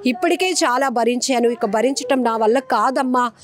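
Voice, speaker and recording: A woman's voice: a little high, normal speed. A woman presents the news. Her narration is emotive and solemn. She speaks earnestly, as if appealing directly to viewers.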